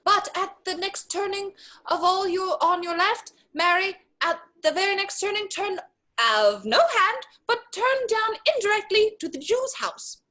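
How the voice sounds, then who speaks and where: Young clown servant, female performer: high, very animated, dithering, playful mischief for stage comedy. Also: unhurried, exaggerated voice. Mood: mischievous. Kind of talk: theatrical Shakespeare reading.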